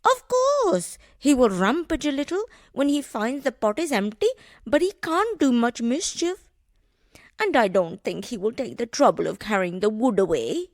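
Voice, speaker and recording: A man's voice: very high voice, very animated, moderate pace, dry recording, studio quality, pitched above the performer's own voice, exaggerated voice. A man voicing a crafty, scornful, bossy peasant wife who bickers and schemes in a children's storybook. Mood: crafty, instructing.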